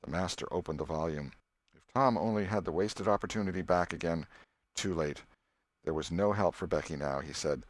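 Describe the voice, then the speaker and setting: A man reading an audiobook as an expressive scripted storyteller. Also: deep voice, a little fast, no room sound, clean studio sound. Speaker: audiobook narrator.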